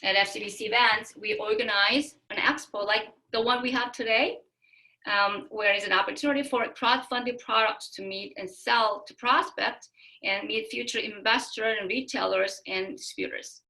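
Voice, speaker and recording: A woman's voice: mid-range voice, fairly even intonation, normal speed, bright, forceful tone, rough recording. A woman presenting a scripted event welcome, reading prepared lines in short phrases. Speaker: event presenter and marketing lecturer.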